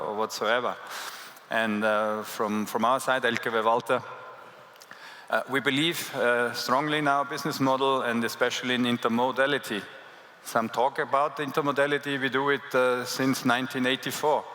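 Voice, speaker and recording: Hesitant man, flat bright voice, echoey hall. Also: medium pitch. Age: middle-aged. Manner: hesitant, many uh fillers, measured. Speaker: panelist, company director. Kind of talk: panel answer. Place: large conference hall.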